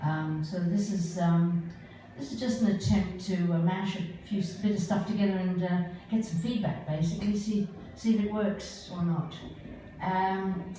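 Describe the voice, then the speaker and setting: A woman's voice: lowish voice, steady tone, moderate pace, mellow, slight background noise. An older woman talking casually and hesitantly as she introduces her live performance, in an informal, rambling way. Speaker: solo performer, writer. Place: live performance venue, indoors. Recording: echoey live venue, far from mic.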